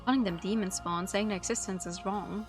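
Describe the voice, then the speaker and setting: Woman streamer reacting over soft music. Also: mid-range voice, steady tone, brisk. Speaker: game streamer. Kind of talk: casual live commentary, reading translated game text aloud. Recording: light music behind.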